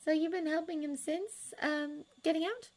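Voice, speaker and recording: A woman reading aloud and voicing a shy, nervous young woman who speaks softly and hesitantly. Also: sits high, fairly even intonation, normal speed, voice pushed up, natural voice. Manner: soft, hesitant, uncertain.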